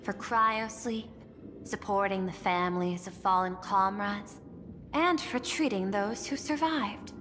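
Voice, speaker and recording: An actress playing a machine-minded corporate chief in a video game, speaking in a measured, formal, detached manner. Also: female voice, mid-range voice, fairly bright tone, lightly acted. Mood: coolly bargaining. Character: composed, calculating female machine-minded corporate chief executive. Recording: long reverb, faint music behind.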